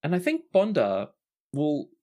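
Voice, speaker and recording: A person with a medium-pitched voice, narrating a tabletop roleplaying game casually as game master. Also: huge pitch swings, unhurried, dark tone.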